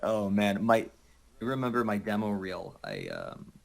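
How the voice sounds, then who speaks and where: A man's voice: lowish voice, huge pitch swings, unhurried, creaky. A man talking casually as an amateur voice actor among friends. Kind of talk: casual chat.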